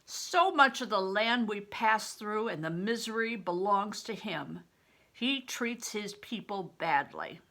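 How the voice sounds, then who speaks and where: A big, blunt, fatherly traveling player from a storybook, read by an older woman. He speaks heartily and emphatically in old-fashioned phrases. The voice is bright, with wide pitch swings and deliberate pacing. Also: animated. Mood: grave, emphatic.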